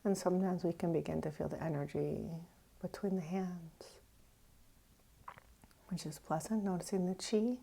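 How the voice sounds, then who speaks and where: A woman meditation teacher leading a guided meditation gently, with pauses, often trailing off into a breathy near-whisper. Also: fairly low pitch, fairly even intonation. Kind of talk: guided meditation, unhurried and instructive.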